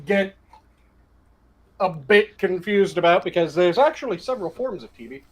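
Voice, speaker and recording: A man with a fairly high voice chatting on a podcast. He explains things plainly and delivers jokes as a dry, rambling setup. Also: lively intonation, normal speed. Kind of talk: casual group chat.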